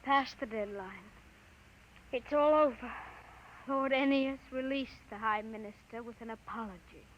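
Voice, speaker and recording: A woman's voice: fairly high pitch, a little slow, close to an everyday voice. An actress playing an anxious, sorrowful young woman in a 1950s radio drama. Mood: anxious, hopeless. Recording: muffled, hissy 1950s radio recording.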